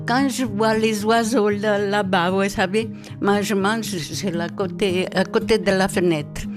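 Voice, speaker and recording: A woman from central Italy, older, reminiscing in an interview in a halting, reflective way that repeats words. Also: medium pitch, fairly even intonation, music in the background. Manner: reflective, halting, repeats words as she tells her stories. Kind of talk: reminiscing storytelling. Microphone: handheld mic held by the interviewer.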